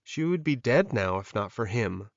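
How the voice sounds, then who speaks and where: A man reads dramatic fiction as an audiobook narrator. His pitch is in the middle range. The recording is close and dry, with a slightly dull tone. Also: very animated, moderate pace, creaky, no echo.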